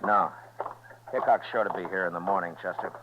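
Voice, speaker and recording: Casting: man playing steady Western marshal, very low voice, terse, dull old radio recording. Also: noisy background, close to an everyday voice. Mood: calm, wry. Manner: terse, unhurried, firm. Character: stern, weary, steady male frontier marshal. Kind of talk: 1950s radio western acting and narration. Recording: muffled 1950s radio recording.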